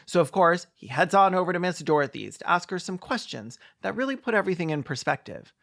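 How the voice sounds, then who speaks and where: Campy, sarcastic, higher-voiced male commentator. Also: sing-song, fast talker, creaky, a bit bright. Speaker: video commentator. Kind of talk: comedic sarcastic film commentary.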